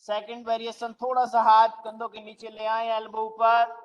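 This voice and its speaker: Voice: medium pitch, completely flat delivery, normal speed. A yoga instructor leading an online class with short, commanding cues and counted holds. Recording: muffled lo-fi online call audio.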